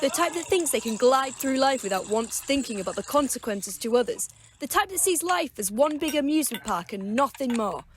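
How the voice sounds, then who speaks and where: A high, very lively young woman's voice acted by a woman for an audio drama, curt and easily offended. She plays a proud, defensive bartender, with faint noise behind her. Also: a little fast, hardly any pauses. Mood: angry, accusing. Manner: curt, indignant, sharp-tongued. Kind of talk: amateur audio drama acting.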